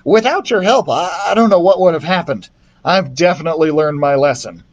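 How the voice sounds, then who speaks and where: A stammering, sheepish young man, put on by a storybook reader, lifted well above the reader's own pitch. He wavers through his words with a lively, uneasy lilt. It comes through a built-in laptop mic. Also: a little fast, muffled recording, close to an everyday voice.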